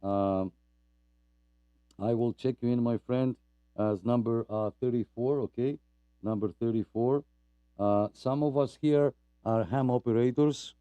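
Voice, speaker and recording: A man's voice: medium pitch, unhurried, long pauses, warm tone, smooth, no echo, lo-fi sound. A man from Greece hosting a weekly radio net, rambling and chatty with lots of fillers and apologies for talking too much. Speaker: net host. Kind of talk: casual radio net chat.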